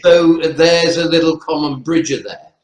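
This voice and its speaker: A man's voice: on the high side, fairly even intonation, unhurried. A man with a North Welsh accent, explaining his teaching practice as a lecturer on an online webinar panel. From North Wales. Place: indoor room, online webinar. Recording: roomy echo on webinar audio.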